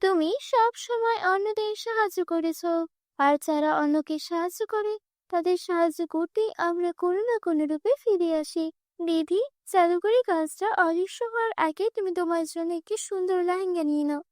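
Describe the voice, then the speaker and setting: Woman voicing a high-pitched kindly cartoon fairy. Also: normal speed, no echo, clean studio sound. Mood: reassuring. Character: kind, reassuring magical fairy woman. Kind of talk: children's fairy-tale cartoon dub.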